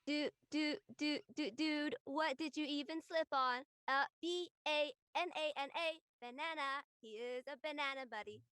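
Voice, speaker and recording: Woman as teasing freshman, quirky, playful, high voice, dry close recording, comedic audio drama. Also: normal speed, few pauses, fairly bright tone, no room sound. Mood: playful, mocking.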